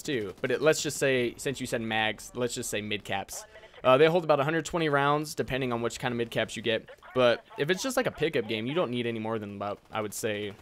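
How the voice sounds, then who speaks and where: A young man around twenty with an American accent talks casually, as a gaming YouTuber would. His voice sits at an average male pitch. He is informal and noncommittal, letting thoughts trail off, over light noise and gunfire sounds. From United States. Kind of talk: casual Q&A commentary, reading out viewer questions.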